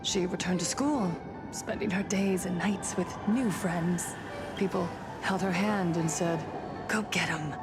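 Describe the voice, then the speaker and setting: A woman playing a wounded young woman in a video game, deliberate and theatrical, close to madness. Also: fairly low pitch, lightly acted. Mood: bitterly nostalgic. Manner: deliberate, dramatic. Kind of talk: dramatic game storytelling. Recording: music clearly under the voice.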